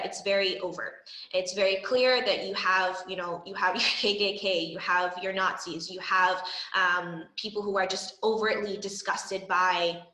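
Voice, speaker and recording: A young woman from the Bahamas talks through her thoughts on a discussion panel, slowing down with frequent ums. Her voice is bright and forceful. The room around her echoes. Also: mid-range voice.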